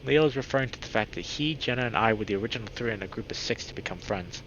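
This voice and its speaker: A man reading a visual novel aloud as a streamer, with expressive reactions. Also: lowish voice, fast talker. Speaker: video game streamer. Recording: dull lo-fi mic with clear background noise.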